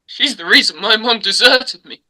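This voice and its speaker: A woman acting an unhinged young male slasher killer in a script read, mocking and menacing, with halting, drawn-out taunts. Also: a little high, bright, forceful tone. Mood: bitter, menacing. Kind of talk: dramatic script table read.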